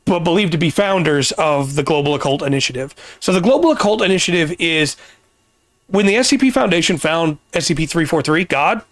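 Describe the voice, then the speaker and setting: A man hosting a casual podcast, explaining things at length with pauses and restarts, his voice getting worn. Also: fairly high pitch, animated, no room sound. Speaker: podcast host.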